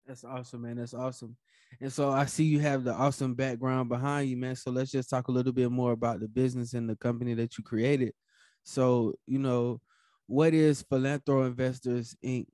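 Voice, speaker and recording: A man's voice: medium pitch, level tone, a little fast, dark tone, no echo, studio quality. A man hosting a podcast and asking questions in a casual, conversational way. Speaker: podcast host. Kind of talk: casual interview.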